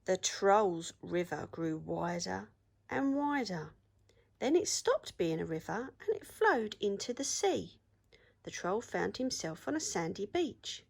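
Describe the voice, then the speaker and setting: A woman teacher reading a story aloud to children in an expressive storytelling style. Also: mid-range voice, slow pace, creaky, husky.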